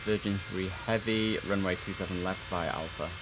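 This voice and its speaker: A young man with a deep, low voice works as a game air traffic controller, switching from crisp radio calls to relaxed chatter. His audio carries a clear layer of background noise. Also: moderate pace. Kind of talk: gameplay commentary with radio phraseology. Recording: noisy game stream audio.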